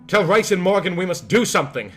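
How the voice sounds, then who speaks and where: A man voicing a delirious scholar in his seventies who frantically shouts urgent warnings in an audiobook horror tale. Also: high-pitched, very animated, fast, pitched above the performer's own voice. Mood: frantic alarm. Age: 70s.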